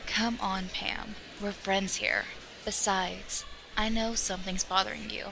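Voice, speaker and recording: Woman playing a creaky-voiced, sly teenage girl. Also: medium pitch, little pitch movement, measured pace. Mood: sly, friendly. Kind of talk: audio drama acting. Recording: clearly noisy home recording.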